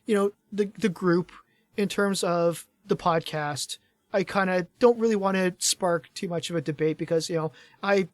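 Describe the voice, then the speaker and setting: A man's voice: fairly high pitch, dry recording. A man co-hosting a casual gaming podcast, rambling and chuckling as he talks, his voice tired from hours of talking. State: tired voice starting to fade after hours of talking. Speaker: podcast co-host.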